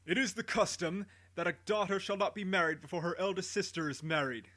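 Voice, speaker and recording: A man voicing a cruel, proud tyrant king who speaks in a great booming voice. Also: moderate pace, a bit bright. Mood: commanding. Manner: booming, commanding.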